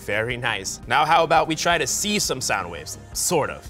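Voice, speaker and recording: A man in his twenties giving an upbeat, encouraging science demonstration for children, with an animated and exclamatory manner. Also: mid-range voice, moderate pace. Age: 20s. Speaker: science show host. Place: TV studio set. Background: music.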